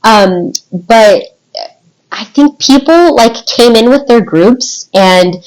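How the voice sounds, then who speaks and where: A woman in her twenties with an American accent, telling personal stories in an informal, animated, rambling way as a podcast guest. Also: a little high, slow, rough recording. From United States. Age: 20s. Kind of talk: casual podcast chat, personal storytelling.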